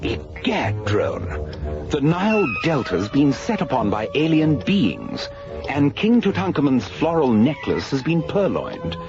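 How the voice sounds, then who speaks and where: A man playing a pompous, self-assured detective in a radio drama, theatrical and deliberate in his delivery. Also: medium pitch, wildly swooping pitch, loud music behind the voice, full character voice. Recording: muffled, hissy radio recording.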